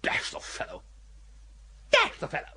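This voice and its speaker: An actor playing a flustered, foppish young English gentleman in a radio comedy, plummy, stammering and bumbling, with an upper-class accent. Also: moderate pace. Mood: defiant. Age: young adult. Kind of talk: radio comedy drama acting.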